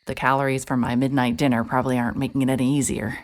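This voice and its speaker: Very low-voiced, sardonic female detective. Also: flat delivery, rapid-fire, slight background noise. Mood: weary, wry. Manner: dry, sardonic, pressing. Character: hard-hearted female private detective, sardonic and stubborn. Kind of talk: cinematic audio drama acting with first-person noir narration.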